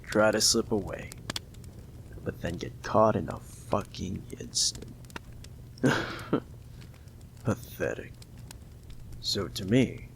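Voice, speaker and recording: A man voicing a possessive, menacing mob boss in an audio roleplay, gravelly and slow, coldly affectionate and often whispering. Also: lowish voice, slight background noise, full character voice.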